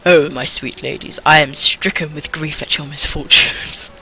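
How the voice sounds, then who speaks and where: A woman voicing a courteous young French nobleman with a smooth, formal, mock-apologetic manner. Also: low-pitched, fairly even intonation, normal speed, bright, forceful tone, pitched below the performer's own voice, rough recording. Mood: mock sympathy. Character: suave, courteous young male French nobleman, slyly sarcastic.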